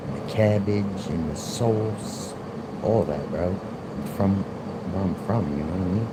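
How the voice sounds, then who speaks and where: A man in his forties with a Philadelphia accent talks in a deep, unhurried voice. He rambles and circles back, arguing his points over and over. A loud air conditioner hum sits under the voice. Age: 40s. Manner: rambling, repetitive, argumentative. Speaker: livestream host. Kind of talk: rambling livestream monologue.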